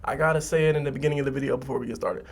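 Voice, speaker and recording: A man's voice: mid-range voice, steady tone, racing, gravelly, slight background noise. A man chatting casually and playfully to viewers as a podcast host, in a slangy, rambling way. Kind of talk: casual comedic chat to viewers. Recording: music playing behind the voice. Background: music.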